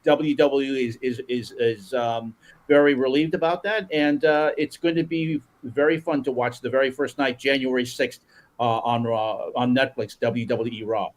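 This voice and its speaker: A man's voice: medium pitch, fairly even intonation, normal speed. A man appearing as a guest on a sports podcast, talking eagerly with frequent stumbles and restarts. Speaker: guest commentator.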